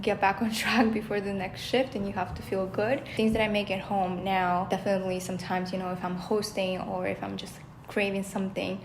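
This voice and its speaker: A woman's voice: mid-range voice, a little hiss or hum, low-quality recording. A young woman from Russia, a native Russian speaker, chatting casually to camera about food, often saying "like" and "you know". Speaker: vlogger, former chef.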